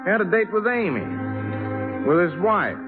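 An actor playing a loyal young jazz bandmate who narrates a 1950s radio drama. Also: male voice, high-pitched, big pitch swings, moderate pace. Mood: somber, reflective. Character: wry, loyal young male jazz bandmate telling the story. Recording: muffled 1950s radio recording with music.

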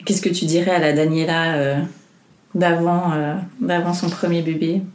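A host asking relaxed, friendly questions in a casual interview, with short prompts and murmured agreement. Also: medium pitch, moderate pace. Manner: relaxed, brief prompts and backchannels. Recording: roomy room sound.

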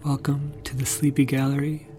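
A man gently introducing a bedtime podcast episode in an unhurried way, speaking directly to listeners. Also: mid-range voice, completely flat delivery. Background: music.

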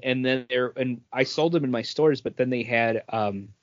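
A man's voice: mid-range voice, moderate pace, no room sound. A man in his forties talks casually, as a co-host does on a podcast. He rambles and doubles back over his phrases. The recording is very dry and a bit muffled. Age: 40s. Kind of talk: casual chat, beer review.

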